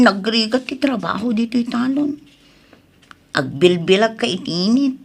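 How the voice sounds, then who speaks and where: A woman playing a young farm wife in an audio drama, homesick and inclined to complain, with a slightly husky voice. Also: fairly high pitch, animated, moderate pace, heavily acted.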